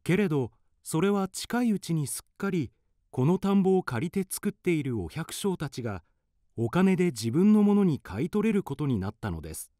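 A man's voice: fairly high pitch, very animated, normal speed, dark tone, no echo, studio quality. A man narrating a children's radio drama in scripted storybook style.

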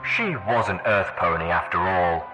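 A man's voice: low voice, a little slow, music in the background, low-quality recording. A man voicing an older, wasteland-hardened stallion warlord with a pleasant, wry manner, heard over an intercom. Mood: suave, wry. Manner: pleasant, wry, mock-hospitable. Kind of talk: audiobook character voice.

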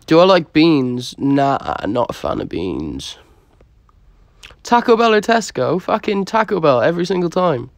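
A man's voice: a little high, big pitch swings, unhurried, vocal fry, no room sound. A young man with a Dublin Irish accent chatting casually on a livestream, answering comments in a laid-back, slangy way. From Dublin, Ireland. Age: young adult. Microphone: phone held close to the face.

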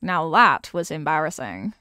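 A woman playing a young, eager and kindly apprentice witch in an ASMR roleplay, chatty, teasing and expressive as she talks straight to the listener. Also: sits low, a little slow, clear, resonant tone, no echo, studio quality, voice pushed down, heavily acted. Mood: teasing, curious. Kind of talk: ASMR character roleplay, scripted.

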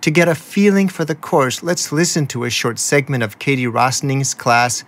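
A man's voice: medium pitch, moderate pace, fairly bright tone. A man narrating a radio documentary and interviewing as its host.

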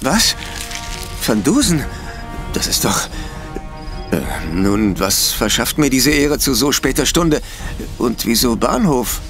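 An actor playing an evasive, indignant doctor under suspicion in a German audio drama, blustering and defensive. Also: male voice, medium pitch, very animated, slow pace, pauses often, over music. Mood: flustered, evasive.

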